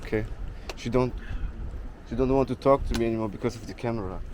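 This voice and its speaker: A man in his thirties with a German accent, a vlogger chatting casually with strangers and searching for his words. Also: mid-range voice. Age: 30s. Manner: halting, searching for words, frequent restarts. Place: outdoors at a beach. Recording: outdoor crowd chatter. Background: crowd noise.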